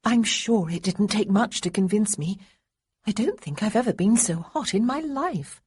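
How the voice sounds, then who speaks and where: A woman voicing Honoria, a nervous, tender young gentlewoman from a storybook romance. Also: medium pitch, lively intonation, breathy edge, pitched above the performer's own voice. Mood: anxious. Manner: fluttery, quick, softly anxious.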